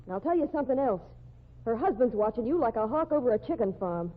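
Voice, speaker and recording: A woman's voice: fairly high pitch, expressive, quick pace. An actress playing a tough, jealous woman in a 1940s radio drama, with a hard-edged, slangy way of talking. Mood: menacing. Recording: tinny old radio broadcast.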